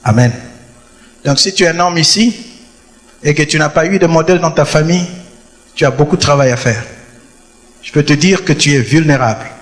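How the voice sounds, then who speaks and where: Preaching man, bright reverb-washed church hall. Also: a little high, a little fast, long pauses. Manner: emphatic preaching, repeats phrases for effect. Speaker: pastor. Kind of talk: sermon.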